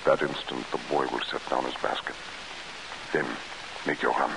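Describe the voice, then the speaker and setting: An actor playing a calm, secretive, deliberate man of authority in a 1940s radio drama. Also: a bass voice, creaky. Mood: hushed, deliberate. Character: calm, secretive underground agent; also a sober consul. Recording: noisy, hissy old 1940s radio recording.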